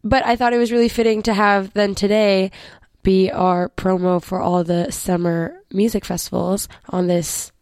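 A young woman from Quebec with a hoarse, worn-out voice, chatting casually as host of a music show. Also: mid-range voice, fairly even intonation, normal speed, darkish tone, no echo, studio quality. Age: young adult. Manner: chatty, rambling with fillers. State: hoarse, lost voice.

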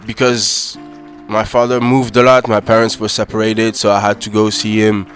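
A man born in Paris and raised in Cameroon, a reggae musician answering interview questions in a soft, halting way with many fillers. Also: on the low side, little pitch movement, soft background music. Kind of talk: relaxed radio interview answers. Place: radio studio. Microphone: studio microphone.

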